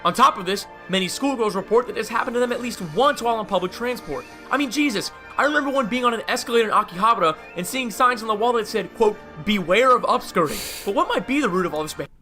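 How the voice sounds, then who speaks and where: A man's voice: high-pitched, lively intonation, quick pace, few pauses, sharp tone. A man narrating a scripted video essay, with casual asides, over music. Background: faint music.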